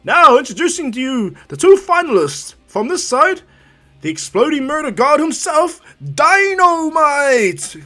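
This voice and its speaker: A hyped anime tournament announcer acted by a man, pitched far up and very loud. He is all showmanship, stretching out big names in a bright, forceful shout. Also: big pitch swings, exaggerated voice. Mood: hyped, showy. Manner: booming showman, drawn-out shouts.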